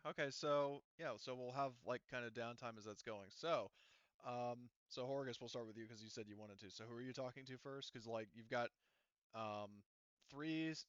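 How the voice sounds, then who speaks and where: Clear-voiced man game master, slightly dull recording. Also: medium pitch, normal speed, a bit bright, dry recording. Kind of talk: casual tabletop game session talk.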